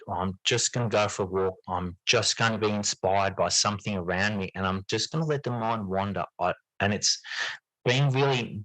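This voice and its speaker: A man's voice: lowish voice, a bit bright, no room sound, low-quality recording. A middle-aged man speaking as an interview guest, earnest and reflective, often rephrasing himself as he thinks aloud. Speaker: podcast guest, teacher and writer.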